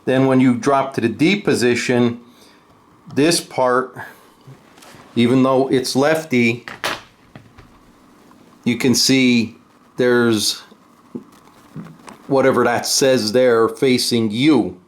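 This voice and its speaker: A man's voice: mid-range voice, fairly even intonation, slow, pauses often. A man giving a casual, unscripted hands-on tutorial and review, explaining step by step in a blunt way. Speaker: host of a guitar gear tutorial and review.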